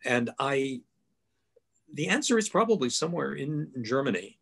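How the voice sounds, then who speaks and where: An older man on a video call with a headset mic, explaining things thoughtfully with many pauses and fillers. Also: medium pitch, sing-song, vocal fry, fairly bright tone, husky. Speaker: guest author. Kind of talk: online panel discussion. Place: home room, video call.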